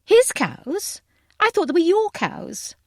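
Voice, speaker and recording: A woman voicing a bright, effusive, well-bred young lady aviator who is polite and apologetic, with old-fashioned phrasing. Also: extremely high pitch, huge pitch swings, slow pace, dry recording, clean studio sound, voice pushed up, exaggerated voice. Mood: curious, surprised.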